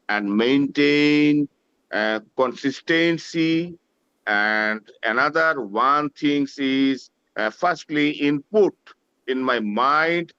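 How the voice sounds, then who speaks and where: Slow, halting man on muffled call. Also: medium pitch, a bit bright, smooth, no echo. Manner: halting, polite, grateful. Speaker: webinar participant.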